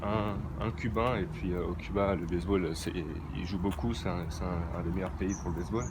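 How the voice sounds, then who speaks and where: A man's voice: low voice, steady tone, brisk. A teenage boy who plays baseball, answering interview questions hesitantly with many fillers and restarts, outdoors at a training ground. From France. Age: teens. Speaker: young baseball player interviewed. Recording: outdoor traffic noise. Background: traffic and ambient noise.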